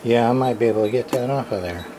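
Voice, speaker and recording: A man giving a casual hands-on repair demonstration, muttering to himself in halting short phrases with plain, folksy, mildly salty wording. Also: medium pitch. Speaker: radio repairer, channel host. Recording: clearly noisy room recording, background ambience.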